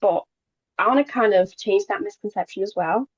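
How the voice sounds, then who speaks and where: A woman's voice: mid-range voice, moderate pace, slightly rough, no room sound, muffled recording. A young woman from Poland who moved to England as a child, a native Polish speaker chatting casually as an interview guest, sometimes stumbling over her words. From Poland, moved to England at ten. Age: young adult. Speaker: podcast guest, pageant queen.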